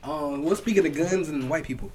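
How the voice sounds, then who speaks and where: A man's voice: on the high side, fairly quick, a little mellow. A young man hosting a podcast, telling stories emphatically and laughing often. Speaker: podcast host. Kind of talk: casual chat.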